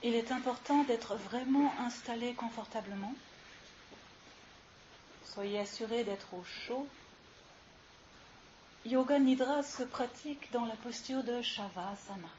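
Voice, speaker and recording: A woman leading a guided yoga relaxation as instructor, giving soothing, unhurried instructions with long pauses, recorded live. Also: on the high side, darkish tone. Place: live session with ambient sound. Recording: muffled live recording with clear hiss.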